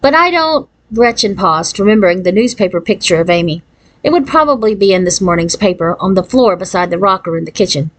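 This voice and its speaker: A woman's voice: fairly low pitch, quick pace. A woman narrating a children's novel as a read-aloud, following a written script. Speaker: audiobook reader.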